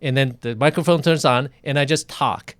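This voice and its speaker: A physician hosts a relaxed online interview and speaks frankly about his own work. His voice is smooth and clear, recorded dry and close. He often restarts his sentences. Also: medium pitch, no echo. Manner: chatty, candid, halting restarts. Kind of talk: casual interview over video.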